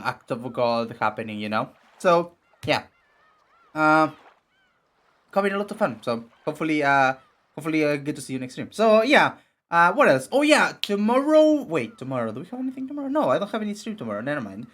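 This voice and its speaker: A young man with a raspy voice streaming casually, rambling with many fillers and restarts. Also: mid-range voice, a bit bright. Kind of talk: casual live-stream chat and reading game text.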